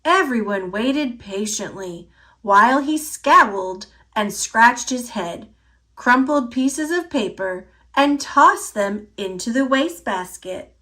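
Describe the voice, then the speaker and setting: A woman reading a picture book aloud for children, with deliberate, clearly phrased, expressive narration. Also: on the high side.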